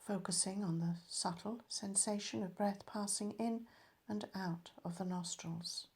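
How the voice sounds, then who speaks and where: A woman leading a guided meditation as a teacher, calm and measured, letting her phrases end softly. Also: mid-range voice.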